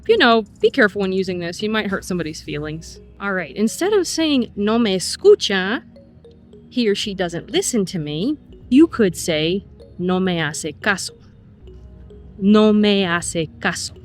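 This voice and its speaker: Chatty woman teaching phrases on a walk. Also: medium pitch. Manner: friendly explainer, slows to model phrases. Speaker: Spanish teacher. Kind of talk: casual vlog lesson on a walk. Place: outdoors, walking in a city.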